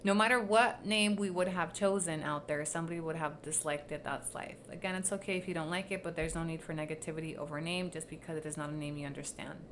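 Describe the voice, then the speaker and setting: A woman whose first language is Spanish hosts a casual livestream commentary. Her voice sits low, and she is blunt and sarcastic, swearing freely as she reacts. A faint hiss of noise runs under her voice. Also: fairly even intonation, quick pace, hardly any pauses, a bit bright. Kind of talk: casual commentary reading posts aloud.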